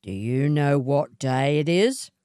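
A woman voicing a scruffy male wanderer and suitor in a storybook, boastful and coaxing, with measured delivery. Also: sits low, animated, warm tone, clean tone, dry recording, studio quality. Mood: mysterious. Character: scruffy swagman suitor, boastful, unhurried, persistent.

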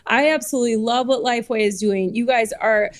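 A woman's voice: a little high, a little fast. A woman in her thirties, a podcast guest, talking in a bubbly way and laughing often. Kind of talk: casual podcast chat.

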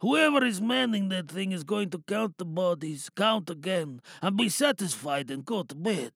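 Man acting a British soldier, battle-worn, bitter, hushed, creaky voice, dry sound, audio drama monologue. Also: high voice, animated, normal speed, dry recording, exaggerated voice. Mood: wry, musing. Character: weary, battle-hardened British soldier, whispering to a comrade.

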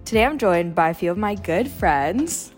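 Low-pitched young Canadian woman hosting. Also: expressive, normal speed, faint music behind. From Vancouver, Canada. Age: young adult. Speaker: student podcast host. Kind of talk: conversational podcast hosting.

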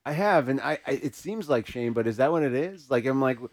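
A man hosting a podcast in casual conversation, haltingly searching for his words. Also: mid-range voice, expressive. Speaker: podcast host.

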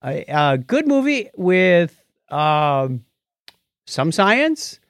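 A man's voice: sits high, very animated, extremely slow, no echo, clean studio sound. A man co-hosting a casual podcast with playful, jokey banter. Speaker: podcast co-host.

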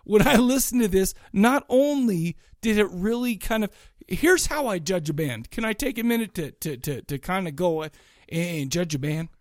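A man's voice: high voice, expressive, moderate pace, no room sound, clean studio sound. A man chatting casually as a podcast co-host, talking in an enthusiastic, rambling, colloquial way about music. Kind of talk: casual chat, music review.

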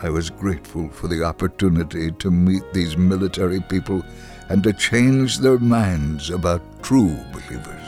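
A man reading a story in a dramatic, expressive way, acting out the quoted dialogue. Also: deep voice, normal speed, music in the background. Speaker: reader of a dramatized true story.

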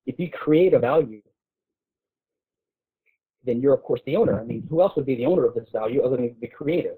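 A man's voice: medium pitch, expressive, breathy edge. A man arguing points informally in an intellectual discussion as a guest, often repeating words as he builds his case. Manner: halting, repeats words while building arguments. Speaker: guest speaker on a discussion show. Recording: muffled narrow phone-line sound.

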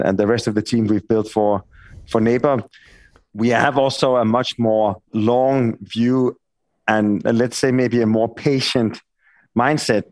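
Middle-aged Danish man, lowish voice, hesitant and rambling panel talk. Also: a little mellow, dry recording. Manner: rambling, hesitant, many restarts. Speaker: architect and company cofounder. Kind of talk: panel conversation.

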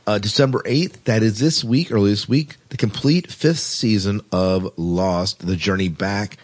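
A man's voice: on the low side, unhurried. A man co-hosting a casual podcast, chatting through science fiction news. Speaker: podcast co-host.

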